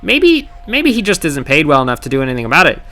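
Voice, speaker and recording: A man narrating his own gameplay challenge in scripted voice-over, wry and sarcastic with playful dramatic pauses. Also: fairly high pitch, wildly swooping pitch, bright, forceful tone. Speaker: gaming channel host. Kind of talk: scripted comedic game commentary narration.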